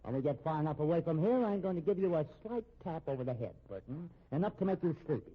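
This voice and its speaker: A man acting in a 1950s radio drama as a cornered fugitive gunman, sardonic and menacing, with a husky voice. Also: a little high, lively intonation, fast talker. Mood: taunting, commanding. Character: cornered fugitive gunman, cool, taunting, protective of sister. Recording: muffled old radio recording.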